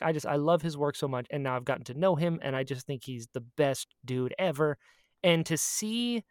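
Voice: medium pitch, fairly bright tone, no room sound, studio quality. A person chatting casually as a podcast co-host, telling stories with a fan's gushing enthusiasm and repeating phrases for emphasis. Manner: acts out quoted exchanges in storytelling.

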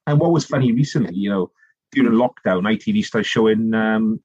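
A middle-aged man from South Wales with a Welsh accent, telling long animated stories as a podcast guest, full of restarts and asides. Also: fairly low pitch, brisk, slightly rough, no echo, muffled recording. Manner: animated storytelling, rambling with many restarts and "you know". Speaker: guest, author and politician. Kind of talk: conversational podcast interview.

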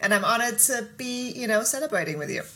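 A woman's voice: on the high side, expressive, fast talker, pushed and bright. A woman who grew up in Germany, chatting as an interview guest in a friendly way with small laughs. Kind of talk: casual interview chat.

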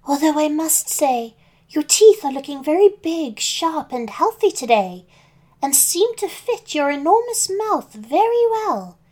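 A woman's voice: high voice, warm tone, pitched above the performer's own voice. A woman voicing an outspoken, bossy young girl who prattles frankly in a children's storybook. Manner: prattling, frankly curious, scolding when cross.

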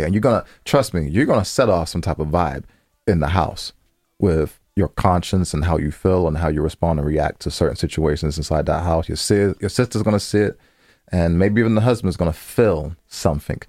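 A man's voice: low voice, darkish tone, no echo, studio quality. A man co-hosting a casual advice show, talking in slang, bluntly, and repeating phrases for emphasis. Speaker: podcast co-host giving advice. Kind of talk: casual advice banter with co-host.

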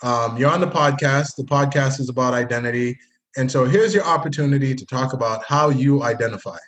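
A man's voice: mid-range voice, a little fast, a bit bright. Chatty joking male podcast host. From Haitian family, grew up partly in Canada. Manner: joking, chatty, friendly teasing. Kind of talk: casual interview chat.

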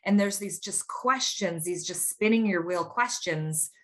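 A woman's voice: mid-range voice, measured pace. A woman hosting a faith-based interview, affirming her guest and asking thoughtful questions. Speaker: podcast host.

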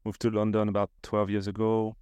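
A man in his thirties with a French accent, a native French speaker, talking casually as a podcast guest in a relaxed, rambling way. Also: lowish voice, fairly quick, clean tone, no echo, studio quality. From southern France. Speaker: podcast guest, marketing executive. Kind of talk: casual interview chat.

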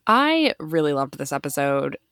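A woman's voice: low voice, very animated, moderate pace, fairly bright tone, clean tone, dry recording, clean studio sound. A woman co-hosting a casual fan podcast, talking with enthusiasm and laughing often. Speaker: podcast co-host.

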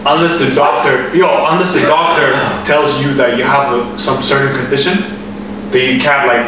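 A man's voice: mid-range voice, steady tone, moderate pace. A man co-hosting a casual gym podcast, blunt and brash, telling stories and cracking jokes in slangy talk. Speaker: podcast co-host. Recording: echoey room, distant mic, noisy.

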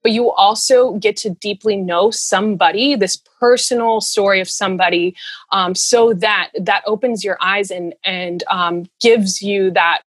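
A woman's voice: medium pitch, moderate pace, no room sound. A woman speaking casually as an interview guest, earnest and rambling, in a giggly conversational way. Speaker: interview guest, runner and storyteller.

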